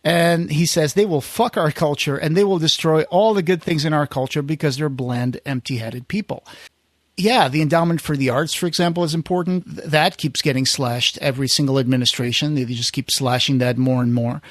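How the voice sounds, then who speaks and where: A man with a slightly higher-pitched voice talks casually as a podcast host. He feels his way through sentences, often restarting and rewording. The recording is very dry, with no room sound. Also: a little fast, no echo. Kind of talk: casual conversational recap.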